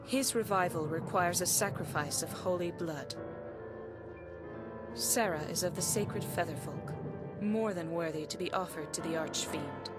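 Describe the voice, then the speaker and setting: An actress playing a grave elven fortune teller in a video game, speaking in a stately, portentous and formal manner. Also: female voice, lowish voice, unhurried, over loud music, lightly acted. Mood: grave, urgent. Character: solemn elven fortune teller, grave adult woman prophetess. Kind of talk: dramatic game cutscene acting.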